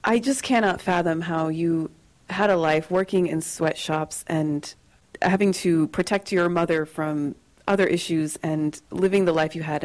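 Low-voiced woman interviewer. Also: low-quality recording. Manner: warm, appreciative. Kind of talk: interview questions.

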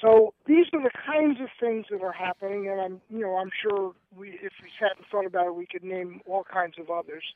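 A man's voice: sits high, fairly quick, hardly any pauses. A man guest on a radio show, talking with hesitant restarts and reading his poem aloud. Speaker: guest poet and activist. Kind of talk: radio interview and poetry reading. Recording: tinny phone line.

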